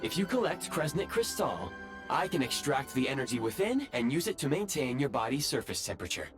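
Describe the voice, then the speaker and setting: A man plays a solemn artificial guide from a video game. His mid-pitched voice moves expressively through formal, measured system announcements, courteous and composed. Game music plays under him. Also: very animated, close to an everyday voice. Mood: wistful, gentle. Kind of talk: scripted video game voice acting.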